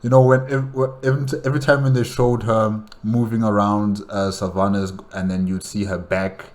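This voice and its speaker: A man giving casual, halting reaction commentary, rambling and repeating phrases as he goes. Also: fairly low pitch, flat delivery, a little mellow. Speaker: reaction video host.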